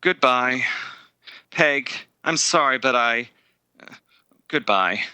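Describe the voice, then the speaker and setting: Man acting earnest young suitor, bright tense voice. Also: mid-range voice, expressive, clear, resonant tone, exaggerated voice. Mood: pained, pleading. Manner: halting, hesitant delivery. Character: earnest, guilt-ridden young man ending a summer romance. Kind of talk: 1930s radio drama acting.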